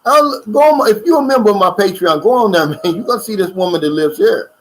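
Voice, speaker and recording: Middle-aged male channel host, loose chatty livestream delivery, pitch a touch high. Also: animated, fast, slight background noise. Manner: loose, chatty, drawling with fillers and asides. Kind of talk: casual livestream chat reading viewer comments. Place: lives in the Philippines.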